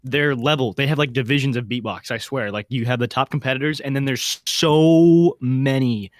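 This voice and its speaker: A man's voice: medium pitch, moderate pace, dry recording, clean studio sound. A man co-hosting a podcast in casual, slangy chat, talking like an enthusiastic fan. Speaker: podcast co-host.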